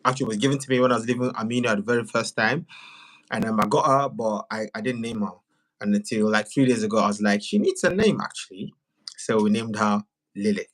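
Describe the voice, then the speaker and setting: A man who makes videos, chatting casually as a livestream guest in an animated way, often repeating words and phrases for emphasis. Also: lowish voice, fast, rough recording.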